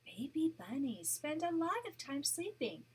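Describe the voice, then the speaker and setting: An older woman reading as a gentle mother rabbit. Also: high voice, sing-song, unhurried, recorded from a distance. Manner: soft, soothing read-aloud.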